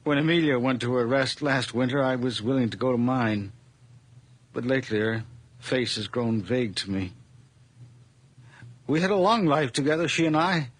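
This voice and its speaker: An actor playing a frail, fearful old widower in a 1980s radio drama, brooding and weary, with frightened cries at times. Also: male voice, mid-range voice, moderate pace, pauses often, lightly acted. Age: older.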